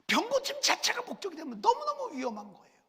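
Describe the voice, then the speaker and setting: A man's voice: very high-pitched, wildly swooping pitch, fast talker, sharp tone. A man preaching a sermon as senior pastor, speaking through a cold and a cough, asking the congregation questions as he goes. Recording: long church reverb.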